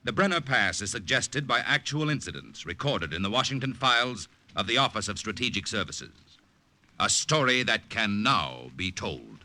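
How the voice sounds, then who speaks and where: A man's voice: lowish voice, big pitch swings, normal speed. A man with an American accent delivering portentous scripted narration in 1950 radio style. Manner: dramatic, portentous.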